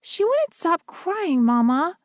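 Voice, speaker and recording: A woman's voice: very high-pitched, big pitch swings, slow, no room sound, studio quality, pitched above the performer's own voice, full character voice. A woman voicing a small girl who is eager and pleading. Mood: earnest.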